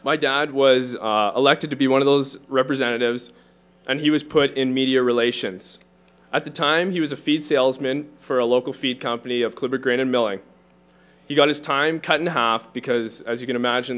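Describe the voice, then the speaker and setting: A young man delivers a prepared talk at a conference lectern, reading steadily from his notes. His voice sits in an ordinary middle pitch and carries through a lectern microphone in a large hall. The manner stays earnest and measured. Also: fairly bright tone. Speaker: conference speaker, poultry farmer.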